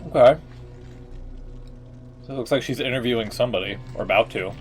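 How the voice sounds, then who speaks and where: A man's voice: mid-range voice, brisk, soft background music. A man streaming live, giving casual, profane, joking commentary on a game story.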